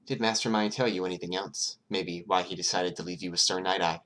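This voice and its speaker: A narrator playing a gentle, careful male detective in an audiobook, questioning softly and with measured words. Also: low voice, steady tone. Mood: gentle, probing. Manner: soft-spoken, measured questioning. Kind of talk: acted audiobook dialogue.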